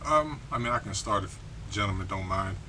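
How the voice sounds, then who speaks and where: A polite, humble man in his forties from western Pennsylvania speaks in an easy, relaxed way. His lowish voice has a bright, forceful edge, and a faint hiss sits behind it. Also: a little fast. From Pittsburgh, USA. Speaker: interview guest, associate director. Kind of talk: casual interview. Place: small studio.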